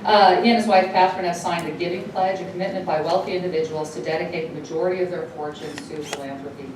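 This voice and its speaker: Woman host, dutch her first language, kinda low voice, far from the mic in a big echoey room. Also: steady tone, quick pace. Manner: reads the introduction, stumbles and laughs it off. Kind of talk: introducing and interviewing a guest at a public event. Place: university hall with audience. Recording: echoey hall picked up from afar, noisy.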